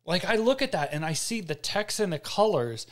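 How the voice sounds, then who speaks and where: Very animated man, slightly high voice. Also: normal speed. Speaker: co-host of a gaming show. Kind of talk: casual VR gaming chat.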